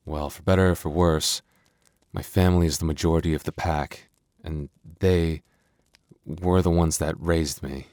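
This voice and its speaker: A man acts out a young werewolf boyfriend with an extremely deep voice in an intimate audio roleplay. He is gentle and earnest, hesitating and stumbling over his words as he opens up. The sound is dry, with hardly any room on it. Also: steady tone, moderate pace, no room sound. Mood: regretful, vulnerable. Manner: soft, earnest, hesitant, stammering.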